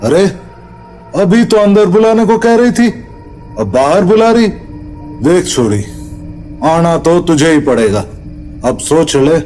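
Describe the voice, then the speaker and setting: Man acting a slow-drawling, sly rustic villager. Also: fairly high pitch, animated, dark tone, soft background music. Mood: taunting, bold. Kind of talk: Hindi horror audio drama acting.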